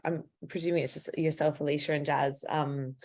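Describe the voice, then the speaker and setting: A woman's voice: low-pitched, level tone, moderate pace, darkish tone. A woman hosting a workshop on a video call, encouraging others to speak. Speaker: workshop host. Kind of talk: casual chat on a video call.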